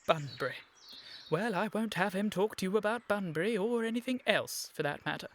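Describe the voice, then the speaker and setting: A woman playing an indignant, stubborn Victorian gentleman in a radio period comedy, with a stiff, clipped, formal manner. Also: mid-range voice, animated, a little fast, a little hiss or hum, close to an everyday voice. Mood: exasperated.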